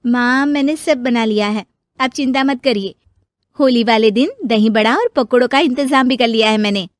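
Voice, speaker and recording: A young, overworked daughter-in-law played by a woman in a cartoon moral story. Her voice is very high and sounds close and dry. She talks in a chatty, exclaiming, homely way. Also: moderate pace, no room sound, lightly acted. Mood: eager, dutiful. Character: young overworked daughter-in-law, eager then weary and grumbling.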